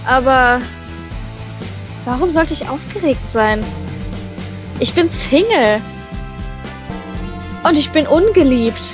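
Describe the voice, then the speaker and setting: High-pitched woman reading aloud, playful. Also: expressive, takes it slowly, pauses often, music in the background. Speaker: reader of a visual novel. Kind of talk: expressive let's play read-aloud.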